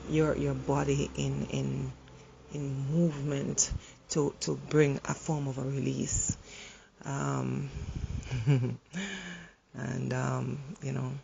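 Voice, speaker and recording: A woman who is hoarse from the flu, speaking in an earnest, encouraging way about relationships and pausing often to restart her sentences. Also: a bass voice, darkish tone, slight background noise, rough recording. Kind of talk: reflective motivational monologue.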